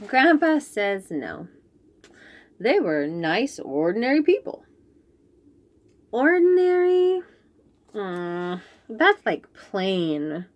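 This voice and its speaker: A woman reads a picture book aloud to young children. She takes her time over every word and lets her voice rise and fall a great deal. Her manner is clear and patient, as if explaining each new word. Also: mid-range voice, lo-fi sound. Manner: slow, clear and expressive, explaining fancy words.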